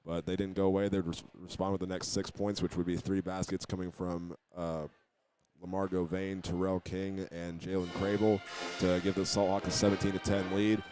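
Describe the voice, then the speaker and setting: Low voiced guy doing sports radio recap, pretty flat tone, bit halting, faint music behind. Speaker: sports play-by-play announcer. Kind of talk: radio halftime recap commentary.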